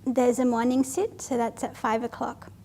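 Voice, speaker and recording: A Buddhist nun, reading out audience questions at a retreat Q&A, light and self-deprecating with frequent laughter. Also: female voice, a little high, flat delivery, moderate pace, warm tone. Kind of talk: Q&A panel at a retreat. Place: retreat hall with a live audience.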